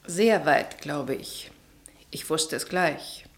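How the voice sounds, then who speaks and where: A pragmatic, steady confidante in a radio drama. Her voice is on the low side, and she speaks with composed, knowing deliberation. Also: slow pace, whispered. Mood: knowing, brisk. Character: steady, pragmatic woman confidante named Julia. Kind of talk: German radio play acting.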